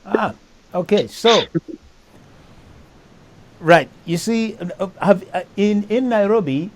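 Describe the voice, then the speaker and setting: A keen, talkative man, his voice sitting a little high for a man. He hosts a relaxed music chat and often doubles his words as he goes. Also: takes it slowly. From East Africa. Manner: enthusiastic, talkative, repeats himself. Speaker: host. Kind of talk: casual chat.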